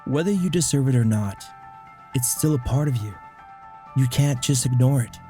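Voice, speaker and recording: A man's voice: medium pitch, expressive, normal speed, mellow, faint music behind, natural voice. A man playing a blunt, nosy but caring father in a comic dub, probing and scolding. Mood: concerned. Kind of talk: amateur comic dub voice acting.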